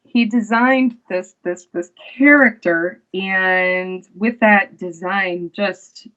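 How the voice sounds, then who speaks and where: A woman, an actress, chatting casually as an interview guest, unhurried and full of fillers and restarts. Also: mid-range voice, fairly even intonation, a bit bright, highs cut off.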